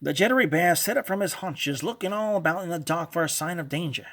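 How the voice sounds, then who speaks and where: A man narrating a children's storybook read-along in a dramatic, expressive, animated way. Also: fairly high pitch, fast talker.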